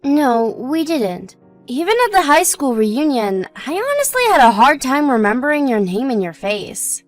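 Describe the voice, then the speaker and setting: A woman acting a high-voiced devoted young wife. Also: sing-song, moderate pace, faint music behind. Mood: casual, chatty. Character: devoted young wife, gentle, modest, loyal to friends. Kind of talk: scripted dialogue in an audio story.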